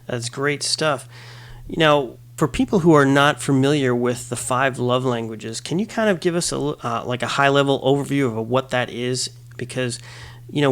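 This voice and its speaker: A man hosting a radio interview, enthusiastic and chatty, adding personal asides to his questions. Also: mid-range voice. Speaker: radio show host. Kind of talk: interview host, conversational.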